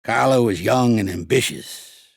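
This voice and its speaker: An actor voicing a shrewd, gruff old American mobster for a video game, streetwise and blunt, with a gravelly, husky voice. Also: male voice, medium pitch, a little slow, dry recording, studio quality. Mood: confiding, measured. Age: older. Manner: streetwise, commanding, blunt, slangy. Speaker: mobster mentor and boxing coach. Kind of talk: video game character acting.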